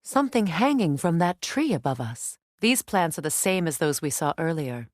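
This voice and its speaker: A woman's voice: deep voice, very animated, moderate pace, dry recording. A warrior goddess from a fantasy video game, grave and composed. Her lines are formal and earnest, delivered as dramatic dialogue in a close, dry recording. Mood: measured, serious. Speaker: video game character voice actress.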